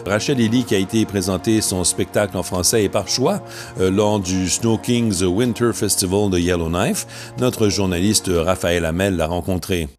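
Male radio presenter, low voice, scripted intro, music bed. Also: normal speed, a little mellow. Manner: smooth, announcing. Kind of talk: scripted broadcast narration.

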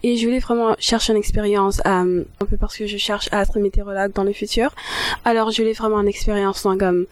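A woman's voice: mid-range voice, steady tone, fast, dry recording. Teen girl, rambling, lots of fillers, dry close sound. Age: teens. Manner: rambling, many fillers and restarts. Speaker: high school intern. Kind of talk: interview answers.

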